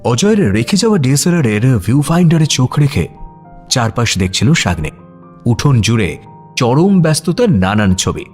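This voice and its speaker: A male narrator reads a scripted story expressively, with a mid-range voice. His intonation rises and falls widely, and soft music runs underneath. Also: mid-range voice, very animated, normal speed, slightly rough.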